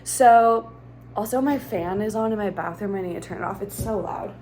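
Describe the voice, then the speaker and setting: Brief: woman, 30s, rambling casual vlogger, mid-pitched. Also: normal speed, dark tone, slight background noise. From Minnesota, USA. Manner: rambling, chatty, heavy use of "like". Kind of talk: casual vlog chat. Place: her home.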